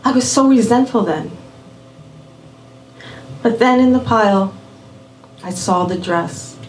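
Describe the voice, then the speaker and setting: A woman raised in Miami telling a personal story live at a small venue, unhurried and measured, dropping in Spanish words. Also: fairly high pitch, slight background noise. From born in Puerto Rico, raised in Miami. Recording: roomy venue audio from a distant mic.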